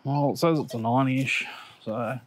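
Excitable Australian man detecting outdoors. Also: medium pitch, moderate pace, slight background noise. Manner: excitable, laughs at his own finds, chatty asides to viewers. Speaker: metal detecting vlogger. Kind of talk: casual commentary while detecting. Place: outdoors on a grassy park lawn. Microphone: action camera on the body or set on the ground.

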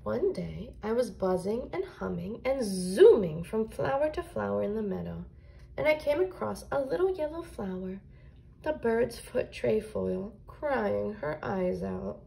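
A woman voicing a kindly, courteous bumblebee telling a gentle tale in a children's storybook. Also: mid-range voice, expressive, unhurried, vocal fry, rough recording.

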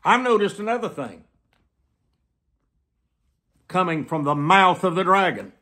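An American man in his seventies preaching to camera in an emphatic, deliberate way, repeating phrases for weight. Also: high-pitched, sing-song, pushed and bright. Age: 70s. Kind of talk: sermon delivered to camera. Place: small plain room indoors.

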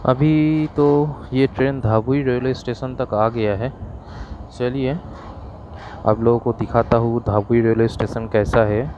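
A man's voice: medium pitch, fairly even intonation, a little slow, dull audio. A young man, chatting eagerly as he films a rainy train trip on his phone. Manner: chatty, eager, shows viewers each sight. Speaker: travel vlogger. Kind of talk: casual travel vlog narration. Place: railway platform and moving train, with rain. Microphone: phone held at arm's length. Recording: loud train and rain noise.

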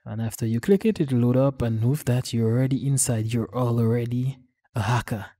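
A man hosting a casual software tutorial for a gaming channel, explaining step by step in an informal, halting, rambling way. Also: medium pitch.

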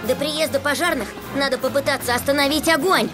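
A brave, decisive young boy played by a woman with a high voice, speaking as the leader in a kids' cartoon. Music plays behind the lines. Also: fairly quick, lightly acted. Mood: confident, earnest. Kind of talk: children's cartoon dubbing. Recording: background music under the voice.

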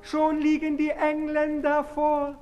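A man's voice: very high voice, monotone, slow pace, darkish tone, muffled recording. An actor playing a florid, lovesick aristocratic man in a 1960s radio drama, pompous and theatrical. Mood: haughty, exalted. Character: florid lovesick aristocratic suitor, haughty and pleading. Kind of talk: 1960s German radio drama, musical declamation. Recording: reverberant old radio play with music.

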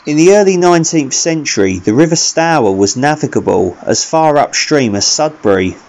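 A man's voice: a little high, lively intonation, normal speed, a little mellow. A middle-aged man, narrating a walk as an outdoor vlogger. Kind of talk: travel narration and walking commentary.

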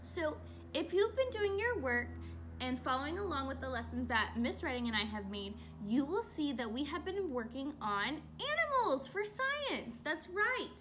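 High, animated young woman teacher reading aloud. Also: normal speed. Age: young adult. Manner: expressive, instructive, asks listeners questions. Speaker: elementary school teacher. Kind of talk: children's read-aloud lesson. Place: small room at home.

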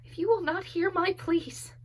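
A woman's voice: extremely high pitch, steady tone, moderate pace. A woman playing a young daughter begging for her life. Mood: desperate pleading. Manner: hushed whispers rising to desperate pleading. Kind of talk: classical tragic monologue performance.

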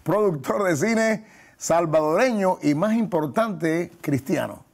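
A man in his sixties hosting a conversational television interview in a studio, with a husky, gravelly voice. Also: sits high, expressive, slow. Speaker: talk show host, pastor. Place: TV studio set.

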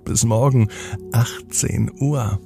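A man narrating a children's bedtime story as podcast host, in a gentle, unhurried storytelling manner. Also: mid-range voice, fairly even intonation, vocal fry, music in the background.

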